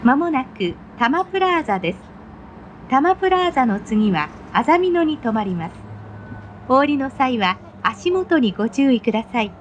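A woman's voice: sits high, very animated, moderate pace, muffled recording. A woman reading scripted railway announcements in a formal, polite manner. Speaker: train announcer. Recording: noisy onboard train recording. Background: train running noise.

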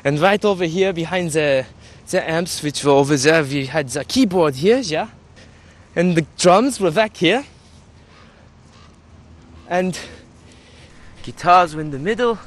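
A man in his twenties playing a nostalgic former rock band member in a mockumentary, talking haltingly outdoors. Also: on the high side. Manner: halting, tags phrases with "yeah?". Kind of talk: mockumentary interview, reminiscing. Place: outdoors on a campus lawn.

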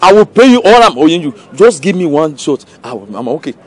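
A man's voice: a little high, fast talker. A man with a Nigerian accent playing a boastful drinker who puts on a showy, slangy swagger in a comedy film. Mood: teasing, pleading. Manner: showy, animated slangy posturing. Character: boastful drinker putting on a returnee-from-abroad swagger, then pleading.

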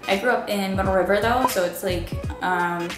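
A woman from the Baltimore area chats loosely to camera, her talk sprinkled with "like" and half-finished thoughts. Her voice sits in a mid range and bounces around an echoey room. Music plays clearly behind her. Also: mid-range voice, moderate pace. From Baltimore area, Maryland. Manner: rambling, filler-heavy "like" talk. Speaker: vlogger. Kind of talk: casual chat to camera. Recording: roomy echo with music behind.

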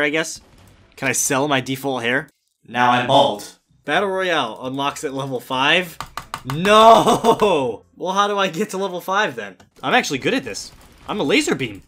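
A young man giving energetic, exasperated gameplay commentary as a gaming YouTuber, with loud outbursts. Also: a little high.

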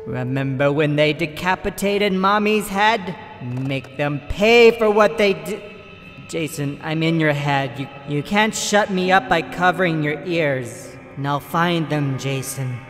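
A man's voice: fairly high pitch, a little slow. A man voicing a doting but murderous ghostly mother, commanding and scolding in a horror parody. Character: doting, murderous, nagging ghostly mother in her killer son's head. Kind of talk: comedic horror parody acting. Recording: long reverb, loud music behind.

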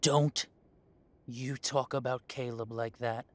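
A man's voice: mid-range voice, steady tone, slow, lightly acted. A man playing a young adult werewolf in an audio drama, an estranged heir who talks with sarcasm and indignation. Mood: defiant. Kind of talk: audio roleplay drama acting.

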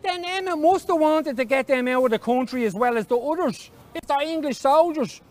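A man's voice: very high voice, a little fast, pitched above the performer's own voice. A man voicing an inquisitive, persistent young Irish boy with an eager, questioning manner, in a story reading. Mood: insistent, puzzled.

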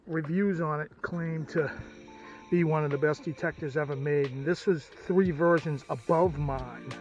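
A middle-aged man with a slightly high voice talks folksily and enthusiastically to his camera, as an outdoor vlogger would. The sound is a little muffled, with some music behind him. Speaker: outdoors and ham radio vlogger. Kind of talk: casual vlog commentary. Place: outdoors, by a stream and at a parked car.

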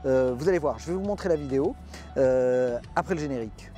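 A man's voice: medium pitch, expressive, quick pace, mellow, soft background music. An older man hosting a camper van vlog, talking outdoors by a motorhome on a lapel mic, with many hesitations. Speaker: camper van channel host. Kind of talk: casual vlog presenting and interviewing. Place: outdoors by a motorhome at a trade show car park.

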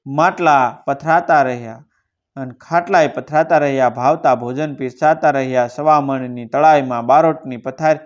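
A man with a Kathiawadi Gujarati accent telling a folk tale in a theatrical, declamatory, rustic bardic way. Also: on the high side. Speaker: storyteller. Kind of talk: dramatic Gujarati folk storytelling. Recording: dull lo-fi recording.

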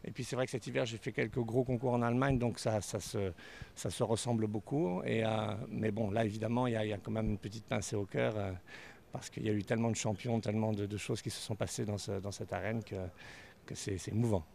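A man in his forties, a dressage rider, interviewed outdoors at an equestrian stadium into a handheld mic, talking haltingly and repeating words as he thinks. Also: on the low side, fairly even intonation, vocal fry, a little mellow, slight background noise. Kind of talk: post-competition interview. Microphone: handheld reporter mic.

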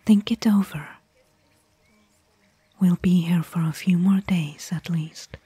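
Actress for bookish woman adventurer, ASMR audio roleplay: mellow, gentle whisper, close and dry. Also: lowish voice, fairly even intonation, no echo, exaggerated voice. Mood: gentle, caring. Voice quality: breathy, whispered. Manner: slow, gentle, pausing between short phrases. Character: gentle, bookish female desert explorer, caring companion.

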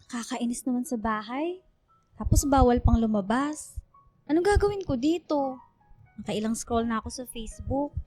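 A woman playing a bored young Filipino woman in a radio drama, casual, slangy and expressive in her talk. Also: a little high, no echo. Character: shy, bored young woman making online friends.

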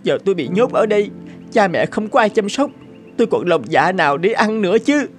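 A woman voicing a small, gentle bird in an animated folk tale, with a plaintive, pleading manner. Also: mid-range voice, a little fast, lightly acted. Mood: sorrowful, reproachful. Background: music behind the voice.

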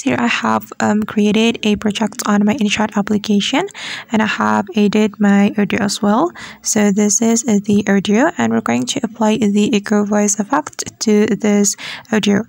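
Lady doing a how-to app voiceover, bit of gravelly fry, really dry sound no room. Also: medium pitch, a little mellow, no echo. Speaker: tutorial host. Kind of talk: step-by-step software tutorial narration.

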